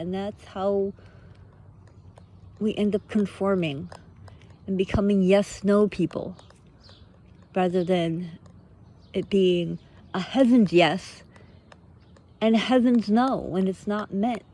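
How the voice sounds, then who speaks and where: A woman giving an earnest, unscripted spiritual pep talk in short, halting phrases, often posing rhetorical questions. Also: mid-range voice, dark tone. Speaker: motivational speaker, vlogger.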